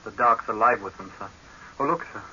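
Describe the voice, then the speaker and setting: A man's voice: fairly low pitch, a little fast, sharp tone. An actor playing an earnest young male scientist in a 1930s radio horror drama, formal and restrained as a subordinate. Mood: eager, deferential. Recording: hissy, muffled old radio recording.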